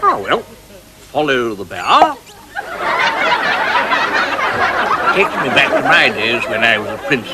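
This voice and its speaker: A man's voice: medium pitch, huge pitch swings, moderate pace, over a noisy crowd. A man playing a befuddled, boozy older king, a bumbling henpecked husband in a pantomime comedy. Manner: bumbling, dithering. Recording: noisy old TV recording.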